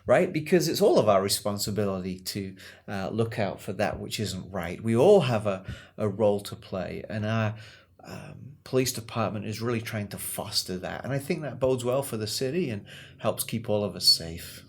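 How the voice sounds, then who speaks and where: A man's voice: fairly low pitch, expressive, moderate pace. Earnest man co-hosting a podcast. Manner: earnest, friendly, with frequent fillers. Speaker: podcast co-host. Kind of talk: casual local community podcast chat.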